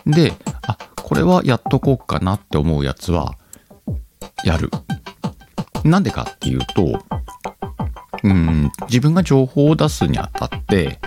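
A man's voice: fairly low pitch, huge pitch swings, unhurried, pauses often. A man hosting a casual solo podcast, thinking aloud as he talks directly to his listeners. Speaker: podcast host. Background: background music.

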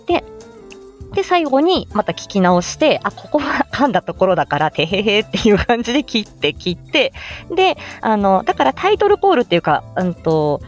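Lady doing a chatty how-to livestream on her phone, super animated pitch, polite n encouraging, bit of music behind. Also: a little fast. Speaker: amateur voice actor explaining how to make voice samples. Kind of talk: casual explanatory livestream chat. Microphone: smartphone, close.

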